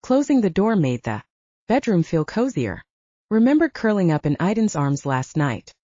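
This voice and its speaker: A woman narrates a romantic thriller audiobook with a lively, wide-ranging delivery. Her tone is soft-edged and mellow, recorded close and dry, with a slightly muffled top end. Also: on the low side, no echo.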